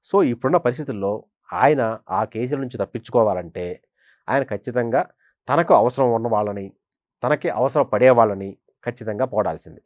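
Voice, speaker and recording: Casting: male senior journalist, middle-pitched, emphatic and repetitive pundit delivery, dry close recording. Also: a little fast, darkish tone, no echo. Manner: emphatic, repeats phrases for stress. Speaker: senior journalist, political analyst. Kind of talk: conversational political commentary.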